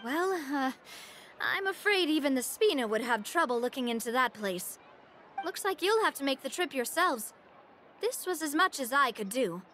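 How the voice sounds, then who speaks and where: A woman's voice: high voice, sing-song, moderate pace, fairly bright tone, soft background music. A woman playing a confident, genteel young leader in a video game, with a poised and polite manner. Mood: concerned, practical. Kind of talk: video game character acting.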